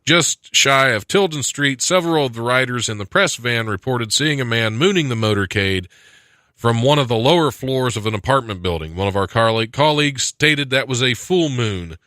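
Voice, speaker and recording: A man's voice: mid-range voice, expressive, normal speed, sharp tone, dry recording. An American man hosting a casual comedy podcast in a studio, joking and sarcastic as he chats. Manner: joking, sarcastic, often chuckling. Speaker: podcast host. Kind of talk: casual comedic chat about news.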